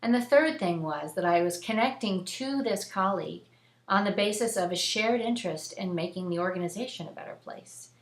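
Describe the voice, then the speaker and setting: A woman's voice: medium pitch, fairly quick. A woman hosting and telling a personal story in a conversational, earnest, emphatic way. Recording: echoey room.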